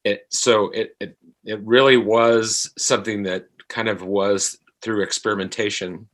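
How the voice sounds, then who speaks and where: A middle-aged man, an actor being interviewed, speaks in a halting, thoughtful way and often repeats his phrases. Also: fairly low pitch, level tone, a bit bright. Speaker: actor guest. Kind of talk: reflective interview conversation.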